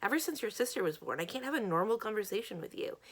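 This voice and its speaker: A woman's voice: fairly low pitch, moderate pace, vocal fry. Woman as blunt, prickly teenage boy. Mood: hurt, angry. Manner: blunt, sarcastic, forceful.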